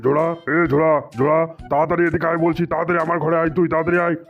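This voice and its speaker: Doting yet gruff elderly cartoon grandfather male performer, very quick, tinny phone-like audio. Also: fairly high pitch, little pitch movement, soft background music. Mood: indignant. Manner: fussing, repetitive, scolding. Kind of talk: comedy cartoon dubbing.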